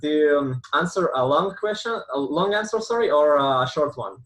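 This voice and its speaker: A man's voice: fairly high pitch, expressive, normal speed, a bit bright. Hesitant man, non-native English speaker. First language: not English. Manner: hesitant, many fillers and restarts. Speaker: guest, game dev consultant and company founder. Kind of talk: podcast interview answers.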